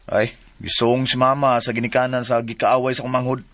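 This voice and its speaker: A man's voice: mid-range voice, little pitch movement, a little fast, noisy background, natural voice. A man with a Cebuano Filipino accent acting an easygoing, offhand young son in a radio drama. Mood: casual, reassuring.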